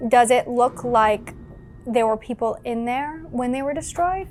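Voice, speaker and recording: A woman's voice: a little high, measured pace, a little mellow, slight background noise. A woman talking casually as a player in a tabletop roleplaying game. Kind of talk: casual in-character table talk.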